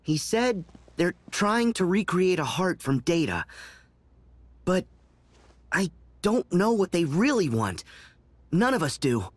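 Game lead: idealistic teenage boy, emphatic, measured pace, close dry sound. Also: high-pitched, sing-song, no echo, exaggerated voice. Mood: serious, indignant. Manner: sincere, emphatic. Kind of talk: video game voice acting.

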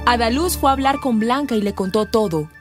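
A woman's voice: a little high, a little fast, over music. A woman giving scripted narration for a radio drama.

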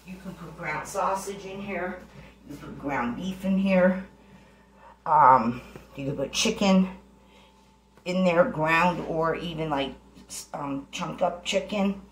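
A woman's voice: sits low, a little hiss or hum. A middle-aged woman chatting her way through a recipe in her home kitchen, giving homey step-by-step instructions. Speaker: home cook, channel host. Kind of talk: casual cooking demonstration. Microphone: camera sitting on the counter. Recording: echoey kitchen, faint clatter of pots.

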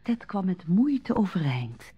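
A woman narrating a radio drama in a measured, literary storytelling manner. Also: medium pitch, sing-song, slightly rough, no room sound, dull audio, faint music behind, exaggerated voice.

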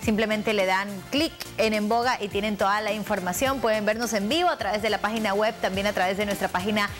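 A woman's voice: medium pitch, steady tone, fast, fairly bright tone, faint music behind. A young woman, presenting and interviewing in a polished broadcast style on a lapel mic in a television studio. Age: young adult.

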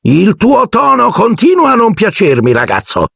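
A man reading aloud and voicing a domineering middle-aged aunt in a shrill, barking falsetto. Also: very animated, moderate pace, vocal fry, no echo, studio quality, exaggerated voice. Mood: scolding.

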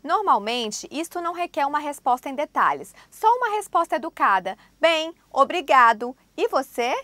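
A woman with a high, lively voice narrates a language lesson from a script. She prompts each phrase clearly and at an even pace. The studio sound is dry and close. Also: normal speed, dry recording. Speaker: language course presenter.

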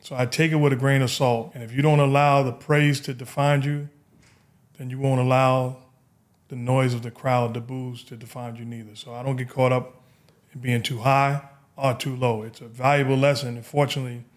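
A middle-aged man with a New York accent, hosting a casual podcast chat and telling stories in a relaxed, reflective way from a high-rise studio on a boom mic. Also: moderate pace. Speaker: podcast host. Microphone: studio mic on boom arm.

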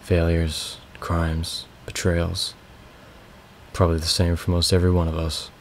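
Guy doing a bitter young slave, short answers, raspy, deep and flat. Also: unhurried, slight background noise. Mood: curt. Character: grim young male slave, weary former soldier.

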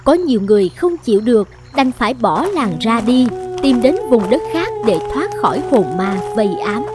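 A woman reading scripted narration for an animated folktale. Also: fairly high pitch, normal speed. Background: music.

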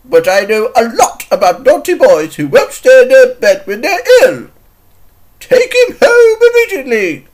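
A man's voice: very high-pitched, darkish tone, heavily acted. A man voicing a haughty, bossy older lady from a children's cartoon, scolding as she talks.

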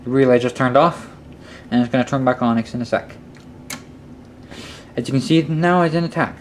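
A man's voice: medium pitch, normal speed. Male how-to host, mid-range voice, practical and instructional, recorded on a handheld camera in a workshop with some noise. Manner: instructional, step-by-step. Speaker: host of a hobby electronics how-to video. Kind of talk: casual hands-on tutorial narration. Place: cluttered indoor workshop or utility room. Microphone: handheld camera microphone. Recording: clicks and clear background noise, handheld camera.

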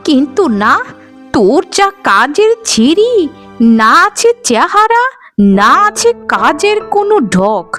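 A woman's voice: high voice, fairly bright tone, exaggerated voice. A woman voicing a spiteful older mother-in-law in a cartoon, nagging and sarcastic with exclamations. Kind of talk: cartoon voice acting. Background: music.

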